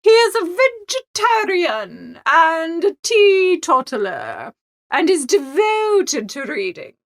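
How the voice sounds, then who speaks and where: A woman's voice: very high voice, huge pitch swings, slow, no echo, full character voice. A woman playing an imperious, self-satisfied older English society mother in a dramatised audiobook, grand and presumptuous in manner. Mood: self-assured, airy. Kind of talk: dramatised audiobook character dialogue.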